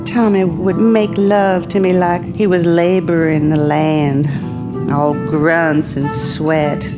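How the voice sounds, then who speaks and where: An actress playing a discontented, scheming farmer's wife in an audio drama, with a deliberate, bitter delivery. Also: female voice, fairly low pitch, flat delivery, music in the background. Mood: bitter, confiding. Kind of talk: dramatic audio play with first-person narration.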